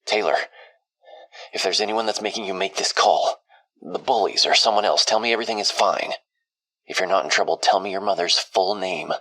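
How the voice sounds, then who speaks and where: A man's voice: sits low, fairly quick, pushed and bright, low-quality recording. A man playing a worried, protective middle-aged father in an audio drama, speaking earnestly and carefully.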